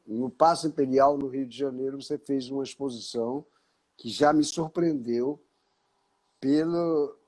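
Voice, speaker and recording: A man's voice: mid-range voice, fairly even intonation, a little slow, a little mellow, low-quality recording. An older man from Paraíba, an art critic interviewing an artist, speaking expansively and correcting himself as he explains. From Paraíba, Brazil. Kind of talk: conversational interview.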